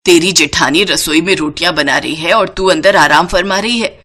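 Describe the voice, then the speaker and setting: A woman's voice: fairly low pitch, normal speed, bright, forceful tone. A woman as a scolding older mother-in-law. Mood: bossy scolding. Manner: scolding, taunting. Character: stern, scolding older Indian mother-in-law, scornful and bossy.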